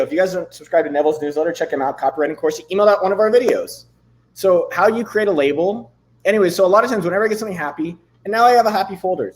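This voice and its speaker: A man in his thirties hosting a live stream, chatting loosely and swearing casually, a bit hoarse from losing his voice. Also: high-pitched. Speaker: livestream host. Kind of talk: casual livestream chat with screen demos.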